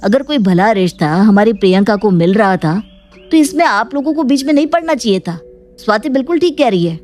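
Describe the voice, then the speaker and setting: A woman's voice: fairly high pitch, animated, brisk, darkish tone, soft background music, natural voice. A woman voicing an earnest man in a cartoon family drama. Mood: defensive.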